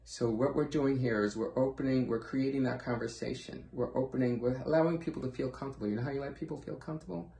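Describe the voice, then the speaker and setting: Guy doing an informal sales training talk, echoey room, mid pitch, really earnest and emphatic, repeats himself a lot. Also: fairly even intonation, fast, hardly any pauses, dark tone. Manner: earnest, emphatic, repetitive, with rhetorical questions. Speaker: presenter training hair-loss clinic professionals. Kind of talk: informal instructional sales presentation. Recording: echoey, roomy sound.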